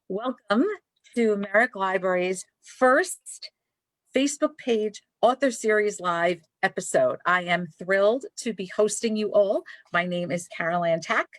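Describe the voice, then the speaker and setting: A woman's voice: mid-range voice, no echo. A woman from Brooklyn with a Brooklyn accent, hosting a live library author chat in an effusive, chatty way. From Brooklyn, New York. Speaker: librarian host.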